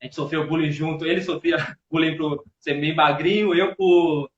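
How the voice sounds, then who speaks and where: A man's voice: on the high side, fairly even intonation, normal speed, a little hiss or hum. A man in his thirties with a Northeastern Brazilian accent, telling stories as a guest in a casual live chat. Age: 30s. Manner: storytelling, repeats words while searching. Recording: roomy, dull lo-fi audio.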